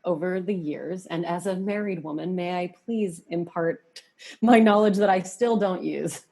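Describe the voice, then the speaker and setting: A woman hosting a podcast in casual chat, animated and confiding, often acting out her inner thoughts as she talks. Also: on the low side, measured pace, vocal fry. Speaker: podcast host.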